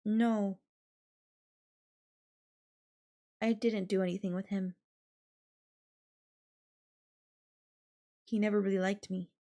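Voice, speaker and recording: A woman playing an obsessive, possessive girlfriend in an intimate audio roleplay, sweet and coaxing with a menacing edge and lingering pauses. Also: mid-range voice, a little mellow, natural voice. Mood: wistful, bitter.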